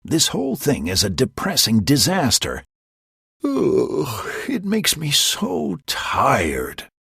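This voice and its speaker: Man voicing a lovesick young man, sulky, whiny, breathy, slow storybook read. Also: mid-range voice, wildly swooping pitch, fairly bright tone, dry recording, studio quality, pitched above the performer's own voice, full character voice.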